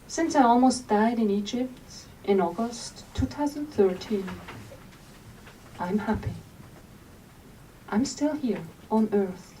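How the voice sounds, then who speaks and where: A woman from Switzerland, reading aloud from her memoir at a bookstore event in a gentle, unhurried storytelling manner. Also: medium pitch. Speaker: author. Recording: distant mic with faint room noise.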